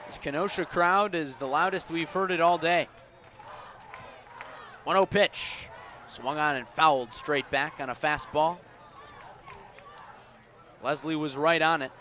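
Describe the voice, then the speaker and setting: A man doing live radio play-by-play of baseball as the announcer, with a measured delivery that rises on the action. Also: on the high side, bright, forceful tone. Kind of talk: live radio sports commentary. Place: outdoors at a baseball stadium. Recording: outdoor ballpark ambience noise behind.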